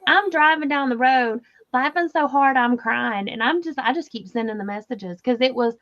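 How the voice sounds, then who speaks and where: Southern woman host, high-pitched, chatty and giggly, slightly dull lo-fi sound. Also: moderate pace. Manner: chatty, laughing, reads viewer comments aloud. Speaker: crochet livestream host. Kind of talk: casual livestream chat.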